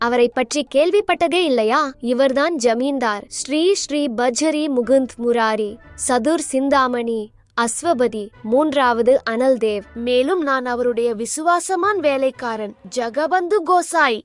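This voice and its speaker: A woman's voice: high-pitched, measured pace, faint music behind. A woman voicing a cheeky boy who acts as a boastful, loyal servant in a children's cartoon. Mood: proud announcing. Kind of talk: animated children's cartoon dub.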